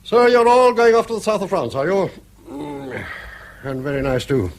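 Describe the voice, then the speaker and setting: A man's voice: fairly high pitch, expressive, moderate pace. An actor playing a bankrupt, argumentative old grandfather in a British radio drama, blustering and sardonic as he needles his family. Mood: needling. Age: older.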